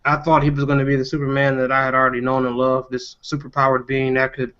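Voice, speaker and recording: A man's voice: mid-range voice, monotone, fast, a bit bright, clear, resonant tone. A man hosting a casual panel discussion, giving his opinions in a conversational way. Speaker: discussion host. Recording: dull lo-fi podcast audio.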